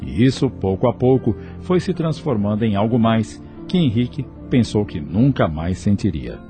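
A man's voice: lowish voice, animated, normal speed. A man with a Brazilian accent, reading scripted narration for a radio drama. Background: music.

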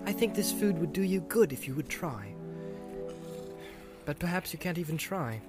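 A man voicing a weary, kind young male hero who speaks in a gentle, measured, polite way. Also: fairly high pitch, animated, over music, voice pushed up, close to an everyday voice. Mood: calm. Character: weary, kindly young male hobbit leader.

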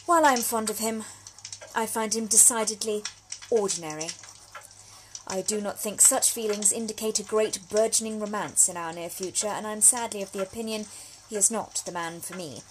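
A woman's voice: mid-range voice, background noise, close to an everyday voice. A woman playing a genteel, frank young Victorian letter writer in an audio drama monologue, speaking with formal, precise diction. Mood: wry, confiding. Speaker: actor reading a solo audio drama. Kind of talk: period monologue, letters read aloud.

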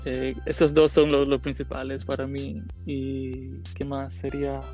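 A man's voice: medium pitch, completely flat delivery, unhurried, dark tone, faint music behind. A man in his thirties from the Dominican Republic, answering interview questions casually with many fillers and English words mixed in. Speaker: interview guest, content creator.

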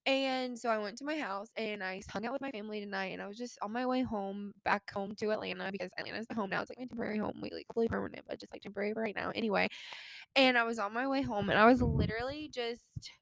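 A woman's voice: mid-range voice, fast, gravelly, no room sound, rough recording. A young adult woman with an American accent, a podcast host talking casually in an informal, rambling stream of consciousness peppered with "like". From United States. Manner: chatty, informal, rambling with frequent "like".

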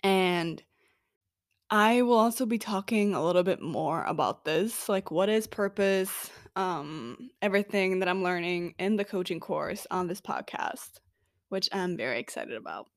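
A young woman from Norway talks through her own podcast in an upbeat, casual way, filling her sentences with "like" and little pauses. She takes her time, speaking at an unhurried pace. The mic sits close in a dry, dead room. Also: fairly low pitch, expressive, no room sound. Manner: chatty, upbeat, filler-heavy with frequent "like". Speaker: podcast host. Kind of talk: casual solo podcast monologue.

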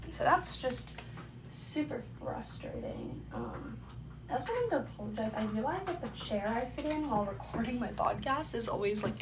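A young woman hosts her podcast in loose, rambling chat, her voice stuffy and congested with a phlegmy catch in the throat. She sits at a medium pitch. Steady noise hisses under the home recording. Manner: rambling, filler-heavy, chatty. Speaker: podcast host. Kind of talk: casual solo podcast chat.

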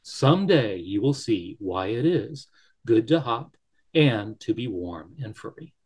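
A man voicing a great silver wolf who speaks slowly, gently and deliberately. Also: medium pitch, big pitch swings, breathy edge. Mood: gentle.

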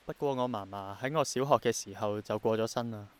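An actor playing an idealistic, gentle young man in a radio drama, reflective and earnest, with a Hong Kong accent. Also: fairly low pitch, brisk, clean tone, natural voice. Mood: wistful. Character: idealistic, persistent young man longing for rain to return.

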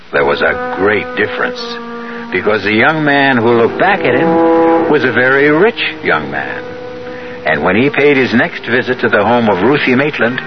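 A man narrating a scripted radio drama as host, with measured, dramatic storytelling. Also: mid-range voice. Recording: dull vintage radio broadcast. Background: dramatic music.

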